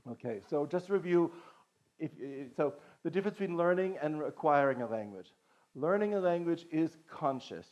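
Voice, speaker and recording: A man's voice: on the high side, darkish tone. Lecturing man, dull lo-fi sound. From Boston. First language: English. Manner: earnest lecturing with frequent restarts and "okay". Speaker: conference presenter, language teacher. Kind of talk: conference talk. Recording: dull lo-fi recording.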